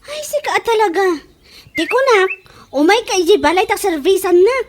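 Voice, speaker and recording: A woman's voice: very high-pitched, expressive, normal speed, slight background noise. A woman playing a fussy, girlish young mother in an Ilocano-accented comedy radio drama, exclamatory and gossipy. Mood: pleading, excited. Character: girlish young working mother fussing over her hair.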